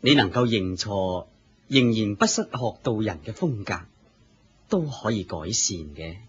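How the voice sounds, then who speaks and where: A man with a Hong Kong Cantonese accent playing a wise, authoritative spiritual teacher, measured and didactic, in a radio drama. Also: fairly low pitch, animated, a bit bright, slightly rough, heavily acted. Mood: gently reproving. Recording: muffled lo-fi radio drama recording.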